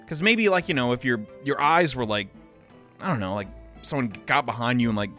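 Man, let's play host, casual rambling commentary, animated pitch, faint music under him. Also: brisk. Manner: rambling, self-deprecating, reads game text aloud.

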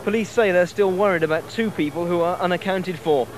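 A man giving a brisk, factual news update. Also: sits high, slight background noise. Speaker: news reporter. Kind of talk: scripted news report.

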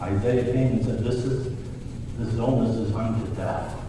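Pastor preaching in an echoey church. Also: male voice, medium pitch, moderate pace. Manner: storytelling, conversational preaching with quoted voices. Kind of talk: sermon. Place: church sanctuary, large room. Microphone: far camera at back of church. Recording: distant roomy church recording with hiss.

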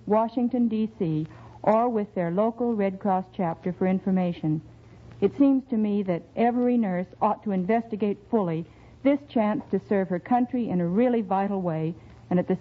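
Earnest 1940s radio woman, tinny sound. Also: medium pitch. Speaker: radio show performer making a public appeal. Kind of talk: 1940s radio scripted appeal.

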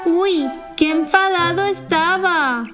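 A woman voicing a cheerful, clumsy little girl witch in a cartoon, with a bright, excitable way of talking. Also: very high-pitched, slow. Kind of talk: cartoon voice acting. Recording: faint music behind.

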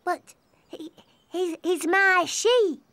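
A woman voicing a bossy, excitable, stubborn little princess girl in a children's cartoon. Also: very high-pitched, extremely slow, dry recording, heavily acted. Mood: upset, urgent. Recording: music behind the voice.

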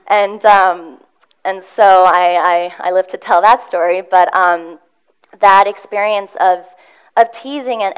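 A woman's voice: medium pitch, clear, resonant tone. A young woman from Switzerland giving an earnest, heartfelt motivational talk on a conference stage, full of ums and restarts. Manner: earnest, warm storytelling with frequent ums and restarts. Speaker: motivational speaker. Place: conference room with audience.

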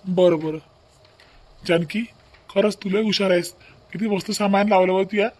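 A man voicing a mild, agreeable village husband in a family comedy cartoon, husky and gravelly, with a rural Vidarbha Marathi accent. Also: sits high, steady tone, normal speed. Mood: easygoing. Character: mild, agreeable village husband who defers to his wife.

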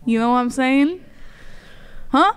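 A woman's voice: high voice, expressive, takes it slowly, clean tone. A woman in her twenties co-hosting a podcast, talking loosely and playfully with plenty of swearing, into a studio mic. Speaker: podcast co-host. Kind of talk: casual chat. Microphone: studio mic on boom, headphones.

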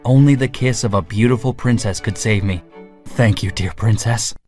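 A woman voicing a young male prince in a storybook, speaking low and solemnly. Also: moderate pace, darkish tone, soft background music. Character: young male prince, freed and grateful, deep-voiced.

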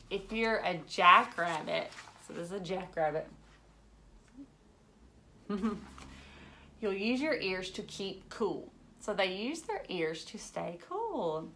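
Slow-paced woman reading aloud to children. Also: medium pitch, expressive, pushed and bright, a little hiss or hum. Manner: exaggerated wonder, frequent questions to young listeners. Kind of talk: children's picture book read-aloud. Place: indoors, furnished living room.

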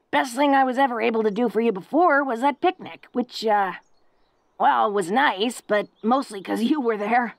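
Orc girlfriend voiced by a woman, tough yet shy and self-deprecating, intimate romantic audio drama; unhurried delivery, dry close sound. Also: on the high side, creaky, no echo, exaggerated voice. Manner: awkward, halting, self-deprecating, with soft chuckles. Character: tough, big, bashful, sweetly devoted orc girlfriend.

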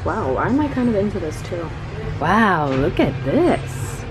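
Animated young woman vlogger. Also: on the low side, a little slow, music in the background, low-quality recording. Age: young adult. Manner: playful, exclamatory, theatrical asides. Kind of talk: casual shopping vlog. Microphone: handheld camera at arm's length.

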